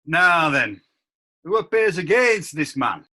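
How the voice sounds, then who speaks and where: A man playing a brisk commanding judge. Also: fairly high pitch, big pitch swings, bright, forceful tone, full character voice. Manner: curt, authoritative. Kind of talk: amateur radio drama.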